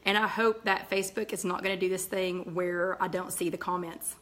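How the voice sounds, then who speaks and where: A middle-aged woman, her voice raspy and nearly gone, hosting a live chat and greeting viewers by name between thoughts. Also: lowish voice, steady tone, fairly quick, fairly bright tone. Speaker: host of a live weight-loss chat.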